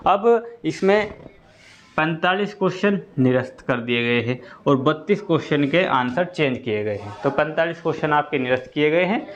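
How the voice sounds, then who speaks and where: A man gives an informal explanatory update to students, going step by step and repeating key points. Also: a little high. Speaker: exam-update presenter for students. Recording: dull lo-fi audio.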